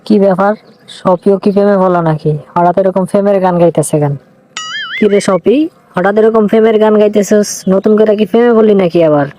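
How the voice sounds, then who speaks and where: A man's voice: sits high, steady tone, fairly quick, dark tone, a little hiss or hum, natural voice, rough recording. A man with a Bangladeshi accent playing a devoted, hot-headed young boyfriend in a comic cartoon. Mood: curious.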